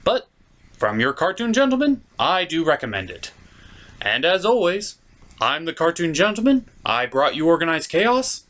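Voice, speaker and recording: A man's voice: on the high side, very animated, normal speed, fairly bright tone. A man hosting a comedic review, narrating a recap in a theatrical way with playful asides. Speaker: host of a puppet show review series.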